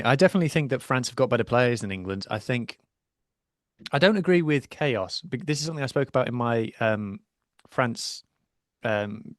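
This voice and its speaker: A man's voice: mid-range voice, no echo. A man co-hosting a rugby podcast from his home studio, chatting and explaining in a self-deprecating way. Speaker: podcast co-host. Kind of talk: casual chat and rugby analysis.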